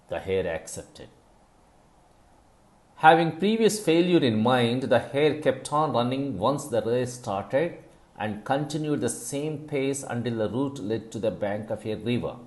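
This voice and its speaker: A man reading a scripted moral story as a storyteller, in a deliberate, instructive manner with stressed phrases. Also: mid-range voice, a little mellow.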